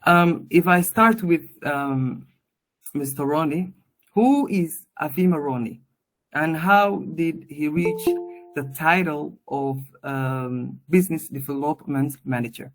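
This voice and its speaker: A woman's voice: low voice, slow pace, over music, poor audio. A woman speaks as the moderator of a panel. She addresses guests formally and hands the floor to them.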